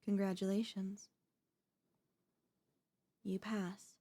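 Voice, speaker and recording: A woman playing a devoted, possessive wife in an ASMR audio roleplay, speaking haltingly with deliberate dramatic pauses. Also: mid-range voice, flat delivery, warm tone. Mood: quiet, eerily calm. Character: devoted wife, shocked then eerily composed, quietly possessive.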